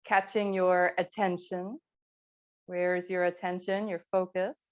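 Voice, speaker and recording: A woman teaching yoga, giving calm, unhurried and gently encouraging guidance through movement and breathing. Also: fairly low pitch. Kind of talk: guided slow movement and breathing instruction.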